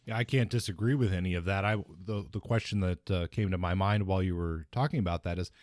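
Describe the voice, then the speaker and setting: A man with a fairly low voice talks books as a podcast co-host. The sound is very dry, with no room to it. His delivery is casual and measured, softening each point as he makes it. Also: no room sound. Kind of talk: casual book discussion.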